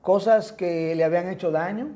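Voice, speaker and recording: A man's voice: sits high, normal speed, dark tone. A man, a pastor with a Dominican accent, preaching a sermon in an emphatic, repetitive cadence. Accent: Dominican Spanish. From Dominican Republic.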